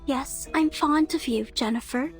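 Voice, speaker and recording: High-voiced, lonely, childlike forest girl. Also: a little slow, soft background music. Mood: hopeful, uneasy. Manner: wistful, childlike pleading. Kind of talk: video game voice acting.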